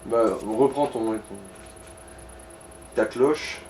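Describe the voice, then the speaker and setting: A man's voice: medium pitch, steady tone, extremely slow, a little mellow, slight background noise. Man commenting on gameplay in an echoey room. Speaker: co-commentator advising the player. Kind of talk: casual gaming commentary. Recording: echoey room, music behind.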